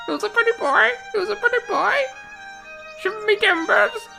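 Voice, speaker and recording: A woman voicing a chattering pet parrot that squawks pirate phrases in a shrill, cackling voice. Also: normal speed, gravelly, sharp tone, faint music behind, full character voice. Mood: squawking.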